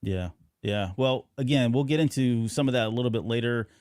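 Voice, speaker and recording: A man's voice: mid-range voice, brisk, a little mellow, dry recording, studio quality. A middle-aged man hosting a podcast, chatting casually and informally, often doubling back on the phrasing. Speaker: podcast host.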